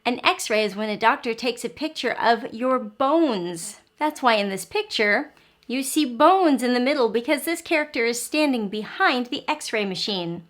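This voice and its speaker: A woman's voice: a little high, expressive, normal speed, a bit bright. A woman teacher giving a phonics lesson and story time for young children, explaining simply and asking frequent questions. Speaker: early learning teacher and story reader.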